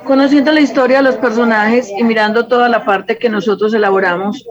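A woman's voice: a little high, fairly even intonation, a little fast, over music, a crowd in the background. A Colombian woman, a fashion designer, giving spontaneous answers as an interview guest over a dull, lo-fi connection.

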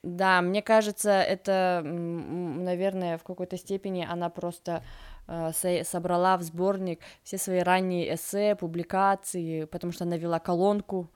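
A woman's voice: on the low side, moderate pace, clear, resonant tone. A woman co-hosting a podcast, chatting casually about a book with many fillers and restarts. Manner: hesitant, many fillers and restarts, animated when quoting. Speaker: podcast co-host.

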